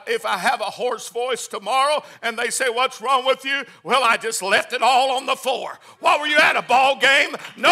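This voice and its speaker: A man preaching a Pentecostal sermon, swinging from hushed, quick asides to shouted hallelujahs. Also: very high-pitched. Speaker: pastor. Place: church.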